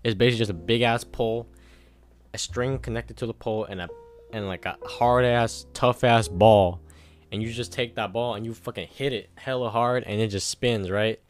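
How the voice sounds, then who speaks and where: A man's voice: medium pitch, normal speed, faint music behind. A twenty-one-year-old man hosting a solo podcast, rambling casually with lots of swearing and pep-talk energy. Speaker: podcast host.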